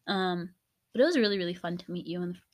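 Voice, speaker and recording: A young woman co-hosting a casual podcast, with a voice she calls deeper than people expect, bubbly and rambling. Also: fast talker. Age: young adult. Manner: bubbly, loud laughs, rambling asides. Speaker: podcast co-host. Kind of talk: casual chat.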